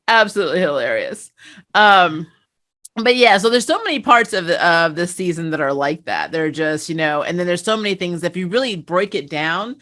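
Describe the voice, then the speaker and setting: Woman, slightly low pitch, casual review chat, animated storyteller, host. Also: moderate pace. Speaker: talk show host and interviewer.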